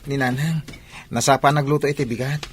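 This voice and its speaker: A man's voice: medium pitch, fairly even intonation, fairly quick, faint music behind, lightly acted. A man with an Ilocano Filipino accent playing an earnest young student athlete in an audio drama. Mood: friendly, casual. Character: earnest young male student athlete devoted to his mother. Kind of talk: amateur audio drama acting.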